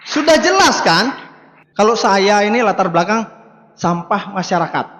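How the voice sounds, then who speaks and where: High-voiced motivational speaker, echoing hall. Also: male voice, very animated, normal speed, a bit bright. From Bali, Indonesia. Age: 50s. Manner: conversational, rhetorical questions to the listeners. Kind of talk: motivational talk to an audience. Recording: echoing hall, long reverb.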